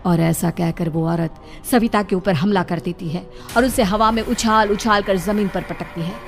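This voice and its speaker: A woman's voice: medium pitch, steady tone, normal speed, soft background music. A woman narrator delivering a scripted horror story.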